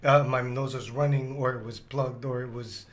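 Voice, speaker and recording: Hoarse male co-host, easygoing chat, quick to laugh. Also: mid-range voice, steady tone. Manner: laughs easily, joking. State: hoarse, losing his voice. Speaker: podcast co-host. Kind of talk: casual chat.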